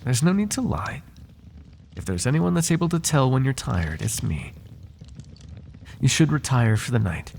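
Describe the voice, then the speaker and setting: A man's voice: medium pitch, very animated, moderate pace, vocal fry, pauses often, darkish tone, slight background noise. A man playing a devoted, lovesick butler in an intimate ASMR roleplay, flirting with his master in formal, courtly, teasing and tender words. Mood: tender, coaxing.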